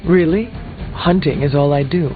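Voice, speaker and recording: A thin, band-limited recording with faint music holds a young warrior from a video game, acted by a man. The voice is a little high. He is solemn, stoic and formal in his speech. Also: moderate pace, narrow, tinny audio, lightly acted. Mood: dry. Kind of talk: scripted video game acting.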